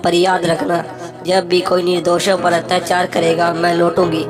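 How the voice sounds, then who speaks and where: A woman's voice: lowish voice, completely flat delivery, normal speed, lightly acted. A woman voicing a wrathful, stern goddess in a cartoon story, solemn and commanding. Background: music.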